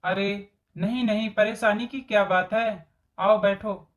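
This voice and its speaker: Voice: mid-range voice, steady tone, a little slow, close to an everyday voice. A voice actor playing a scheming, greedy middle-aged mother-in-law in a story cartoon, with exaggerated storybook delivery. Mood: sweet, polite. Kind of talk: Hindi moral story cartoon dialogue.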